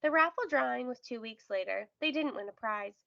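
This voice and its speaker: A woman with a high, creaky-edged voice reads a children's story aloud. She is careful and expressive, and the recording sounds a little dull. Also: a little fast, no echo. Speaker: storybook reader. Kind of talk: children's read-aloud narration.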